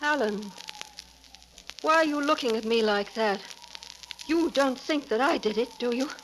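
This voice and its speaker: An actress playing a beautiful, unhinged murderess in an intense, melodramatic 1940s radio style. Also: female voice, on the high side, unhurried, a bit bright. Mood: anxious, pleading. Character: beautiful murderess, frantic wife with a homicidal mad streak. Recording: noisy old 1940s radio recording.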